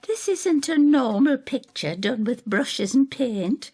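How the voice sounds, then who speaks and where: A woman's voice: high-pitched, lively intonation, slow pace, warm tone, husky, pitched above the performer's own voice, heavily acted. A woman voicing a bitter, self-critical older woman with a rueful, tart and emphatic manner in an audio drama. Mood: haunted, confessing. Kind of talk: audio drama storytelling dialogue.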